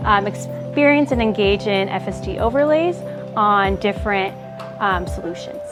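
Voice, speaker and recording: A woman with a mid-range voice explains things to camera as a teacher. Loud music plays behind her. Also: mid-range voice, lively intonation, moderate pace.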